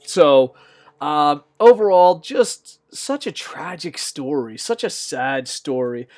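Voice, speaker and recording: A young man with a slightly squeaky voice, rambling through off-the-cuff wrestling news commentary with lots of fillers and restarts. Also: animated, slow pace, a little mellow. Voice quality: a bit squeaky.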